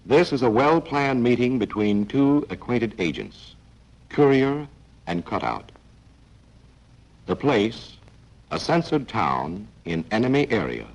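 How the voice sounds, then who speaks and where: A man narrating a 1940s training film in a dramatic, clipped style with deliberate pauses. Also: mid-range voice, sing-song, poor audio. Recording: 1940s film soundtrack with faint music.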